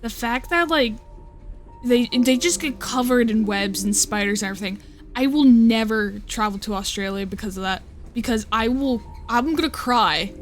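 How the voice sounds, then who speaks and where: A young woman with a slightly high voice chats loosely on a live stream. She rambles, swears freely and keeps breaking off her own sentences. Faint music plays behind her. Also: moderate pace. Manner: rambling, swearing, with self-interruptions and laughs. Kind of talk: casual live-stream chat.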